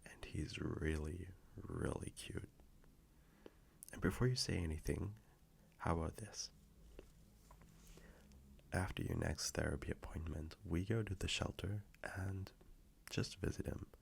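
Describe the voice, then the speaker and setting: A man playing a gentle, affectionate boyfriend in an intimate audio roleplay, speaking softly and reassuringly with a low, gravelly, sometimes breathy voice. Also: in a whisper, exaggerated voice. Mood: hopeful, coaxing. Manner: soft, slow, reassuring, intimate.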